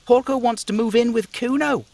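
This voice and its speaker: A man's voice: extremely high pitch, normal speed, creaky, a little mellow, dry recording, voice pushed up. A man voicing a cocky, hostile, swearing street kid in a video game, a brash braggart who talks of himself in third person. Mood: cocky, taunting. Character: foul-mouthed cocky street kid boy, swaggering and hostile.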